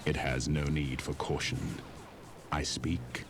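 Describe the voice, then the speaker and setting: A man's voice: a bass voice, flat delivery, slow. A man playing a solemn, world-weary namer of war machines, narrating a children's audio story in a portentous manner. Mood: ominous. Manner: grave, portentous. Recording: loud music behind the voice.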